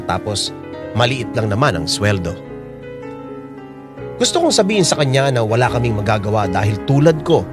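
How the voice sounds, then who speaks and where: A man's voice: fairly low pitch, natural voice. A man acting as a hardworking father in a radio drama, narrating his troubles and arguing with his wife, earnest and frustrated. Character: hardworking father, weary and bitter.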